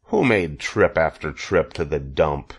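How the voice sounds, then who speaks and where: A man's voice: extremely low pitch, animated, measured pace, gravelly, exaggerated voice. A man voicing a lazy, self-pitying old storybook rat with a growling, sarcastic, complaining manner. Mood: mocking, self-pitying.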